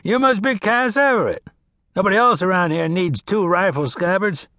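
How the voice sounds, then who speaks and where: A man puts on a cranky old Texas farmer, grumbling and dry-witted, his voice pushed up high. The sound is close and dry, with no room around it. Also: moderate pace, bright, forceful tone, no room sound. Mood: gruff.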